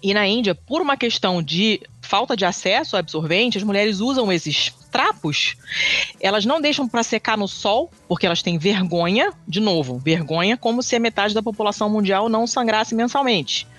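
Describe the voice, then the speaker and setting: A man's voice: high-pitched, animated, normal speed, fairly bright tone, soft background music. A man from Brazil co-hosting a casual podcast, rambling with many restarts and fillers. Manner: rambling, many restarts and fillers, admits never being brief. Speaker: podcast co-host. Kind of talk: casual chat.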